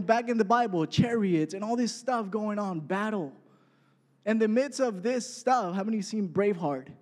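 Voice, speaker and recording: A man's voice: high voice, darkish tone. A man in his twenties preaching a sermon in a conversational way, often checking in with the congregation. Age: 20s. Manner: conversational preaching, frequent "right?" asides. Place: church hall. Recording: echoey hall.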